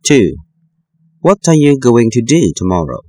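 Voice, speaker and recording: A man reading numbered sentences for a textbook listening exercise, with slow, careful enunciation. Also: medium pitch, sing-song, darkish tone, no room sound. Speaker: listening-test reader.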